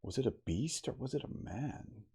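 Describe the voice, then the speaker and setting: A man voicing a shaken, exhausted man desperately demanding answers in an ASMR storybook reading, breathy, urgent and strained. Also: medium pitch, lively intonation, normal speed, mellow, voice pushed up, heavily acted. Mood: desperate, insistent.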